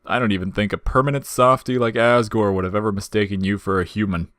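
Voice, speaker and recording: A man's voice: fairly low pitch, steady tone, fast, a bit bright, dry recording, clean studio sound, natural voice. A man voicing a wisecracking skeleton monster with a breezy, folksy, mock-casual manner and a menacing edge in an audio fiction.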